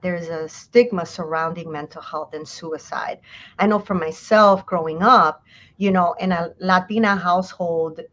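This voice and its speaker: A woman's voice: mid-range voice, fairly bright tone. A woman hosts a live conversation in a casual way, leaning on small fillers. Her voice is mid-range. The sound is dull and lo-fi. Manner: conversational, many "you know" fillers. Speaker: host.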